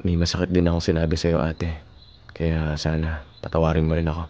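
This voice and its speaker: A man's voice: extremely low pitch, completely flat delivery, a little fast, a little mellow, muffled recording. A man with a Filipino accent acting a protective, earnest younger brother in a radio drama. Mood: reassuring, earnest.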